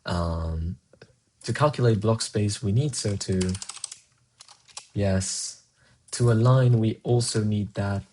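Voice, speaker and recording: Man, Spanish speaker, casual live coding, thinking out loud, faint keyboard typing behind. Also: lowish voice, slow pace, long pauses, slight background noise. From Colombia. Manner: muses aloud, answers chat between tasks. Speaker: programmer streaming live coding.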